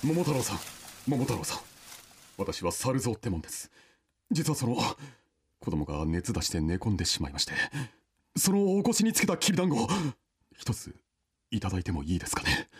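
A man's voice: fairly low pitch, big pitch swings, brisk, long pauses, slightly rough. A man playing a polite, businesslike monkey companion in a comedic radio drama, speaking formally and deferentially. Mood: polite, mild.

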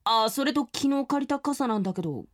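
An earnest teenage schoolboy in an audio drama. The voice is husky and moves freely up and down in pitch. He is skeptical and sincere, both as narrator and as character. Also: lively intonation, a little fast. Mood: incredulous. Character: earnest, skeptical middle-school boy, also narrating his story. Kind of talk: audio drama acting with first-person narration.

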